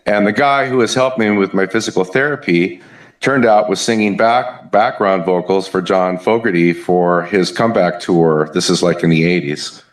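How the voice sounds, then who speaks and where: A man in his fifties from the Southern United States with a Southern US accent, chatting as a musician guest in an interview, relaxed and hesitant with many fillers. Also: low voice, normal speed. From Southern United States (Kentucky, Memphis). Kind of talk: casual interview chat. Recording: echoey room.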